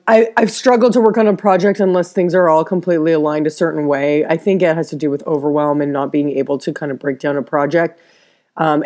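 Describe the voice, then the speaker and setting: Woman vlogging, bit stuffy, rambles and restarts a lot, lower warm voice. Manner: rambling, halting, many restarts. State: stuffed-up nose, slight cough. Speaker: interior designer vlogger. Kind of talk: casual personal vlog.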